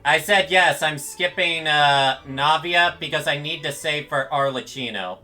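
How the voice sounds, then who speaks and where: Bright, high-voiced man streaming a game. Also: a little slow. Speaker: streamer reacting to a video game. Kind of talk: casual live game commentary, chatting with viewers. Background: game music.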